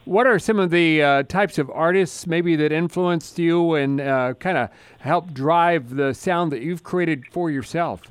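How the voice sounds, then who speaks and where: A male radio host asks his questions in a loose, conversational way, often pausing on fillers. His voice is fairly high and sounds dry and close. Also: clean tone, no room sound. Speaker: radio interviewer. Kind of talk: radio interview.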